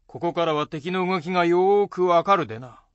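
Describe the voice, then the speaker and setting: Brief: male performer as seasoned warrior adviser, slightly high voice, dry close sound, folksy archaic radio drama. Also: moderate pace, a bit bright, smooth, no room sound, highs cut off. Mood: considered. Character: shrewd, seasoned samurai strategist, male. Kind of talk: Japanese period radio drama.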